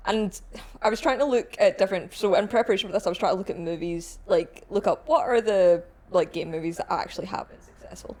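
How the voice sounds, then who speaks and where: A woman's voice: medium pitch, fast talker, hardly any pauses, a little mellow. A woman co-hosting a podcast in casual panel chat with fellow arcade staff. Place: arcade shop, indoors.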